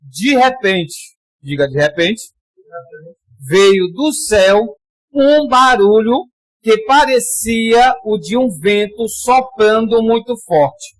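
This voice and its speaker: A man from Pará, Brazil, preaching a sermon in a conversational way, calling for amens and reading scripture slowly with emphasis. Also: high voice, lively intonation, no echo, faint music behind, rough recording. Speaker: pastor.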